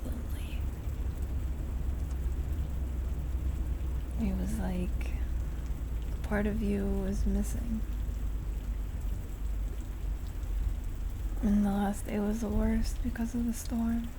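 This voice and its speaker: A young woman playing an affectionate close friend in an intimate one-sided roleplay, with a soft, hesitant, caring manner. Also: mid-range voice, flat delivery, unhurried, background noise, lightly acted. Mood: concerned. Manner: soft, hesitant, caring, breaking into small laughs.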